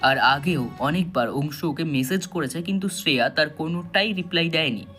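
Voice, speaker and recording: A man tells a scripted thriller as its narrator. His voice sits a little high, the delivery is dramatic and suspenseful, and music runs behind it. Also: normal speed, sharp tone. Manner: suspenseful storytelling. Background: background music.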